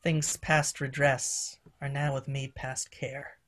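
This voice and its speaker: A woman's voice: rumbling low, little pitch movement, slow pace, a bit bright, full character voice. A woman reading the part of a weary, resigned older duke. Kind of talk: reading a play aloud.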